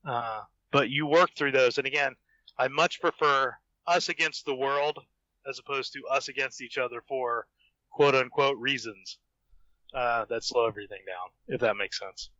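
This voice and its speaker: A man's voice: medium pitch, moderate pace, pushed and bright, no room sound. A man in a casual group podcast chat, speaking as a guest author. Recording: dull, lo-fi voice chat audio.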